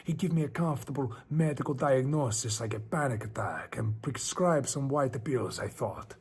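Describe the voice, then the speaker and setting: A man performs an anxious Spanish storyteller questioning his own sanity in a horror tale. His accented voice is very husky with a creaky edge. He narrates theatrically and broodingly, often letting it fall to a hush. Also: mid-range voice, animated, normal speed, exaggerated voice. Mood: brooding, uneasy. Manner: theatrical, brooding, often dropping to hushed tones.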